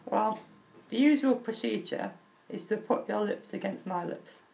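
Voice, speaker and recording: A young man with a crackly, high-pitched voice, talking openly and earnestly about himself, with halting restarts. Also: gravelly, darkish tone. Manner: open and earnest, halting restarts, nervous laughs. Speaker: vlogger. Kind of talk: candid personal talk.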